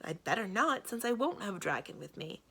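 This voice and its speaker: A headstrong, practical young princess from a storybook, read aloud by a woman. She is brisk and sure of herself, with lively swings in pitch and a slightly husky, creaky edge. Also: full character voice. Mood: impatient, snappy. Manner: brisk, retorting, self-assured.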